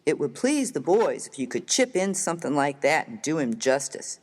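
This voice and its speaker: A man playing a rough, hearty, good-natured American miner of the Old West in a dramatised story reading, with slangy, folksy, emphatic speech. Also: on the high side, very animated, normal speed. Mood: admiring, boastful.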